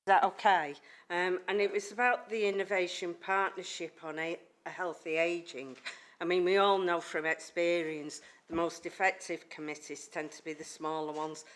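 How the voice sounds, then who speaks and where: A woman with a loud, fairly low voice questions officers at a committee meeting. She asks politely and keeps apologizing. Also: flat delivery, moderate pace. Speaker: committee member.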